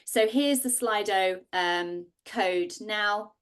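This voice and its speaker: A woman's voice: mid-range voice, slow. A woman in her forties hosting and presenting a live online masterclass over a video call. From Singapore. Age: 40s. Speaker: host and co-founder presenting a masterclass.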